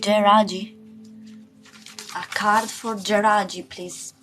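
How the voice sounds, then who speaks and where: Slow-talking woman with music behind. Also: medium pitch, flat delivery, a bit bright. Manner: unhurried, informal, sweary, pausing. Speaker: livestream host and tarot reader. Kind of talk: casual livestream chat with card readings.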